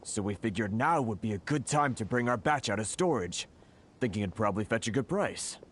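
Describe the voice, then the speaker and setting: Casting: put-upon trader for a video game, male actor, fairly low pitch, flustered and easily exasperated. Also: animated, a little fast, a little hiss or hum, close to an everyday voice. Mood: dejected, exasperated. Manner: hesitant, sighing, exasperated. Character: flustered, aggrieved merchant of a trade association.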